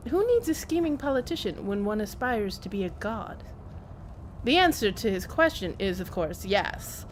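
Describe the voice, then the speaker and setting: A woman's voice: mid-range voice, very animated, normal speed, a little hiss or hum. A woman game streamer who reads in-game text aloud and adds casual commentary.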